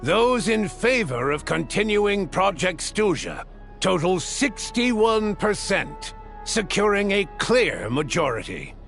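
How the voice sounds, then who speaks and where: Creaky, formal male assembly chairman. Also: high voice, big pitch swings, takes it slowly, fairly bright tone, soft background music, exaggerated voice. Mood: solemn, ceremonious. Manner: formal, ceremonious. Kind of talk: scripted video game acting, formal proceedings.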